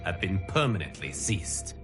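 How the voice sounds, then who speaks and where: A man playing a solemn, formal god who guards the timelines, narrating a game cutscene in a grave, deliberate way. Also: sits low, very animated, over music. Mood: grave warning. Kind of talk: scripted dramatic game narration.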